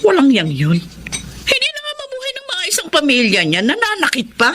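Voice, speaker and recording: An actress playing a stern, protective middle-aged aunt in a radio drama, indignant and full of exclamations. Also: female voice, very high voice, huge pitch swings, fairly quick, a crowd in the background, full character voice. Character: stern, protective aunt who raised her niece.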